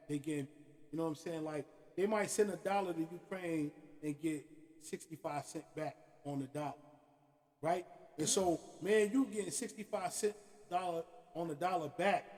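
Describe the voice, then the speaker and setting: A man hosting a podcast, ranting in an animated, profane way and often asking "you know what I'm saying". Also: high-pitched. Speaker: podcast host. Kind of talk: opinionated casual rant. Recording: hall-like reverb.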